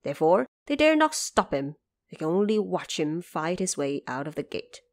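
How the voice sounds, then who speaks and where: A woman's voice: on the low side, big pitch swings, moderate pace. A woman narrator telling an epic adventure story in a scripted, dramatic storytelling style.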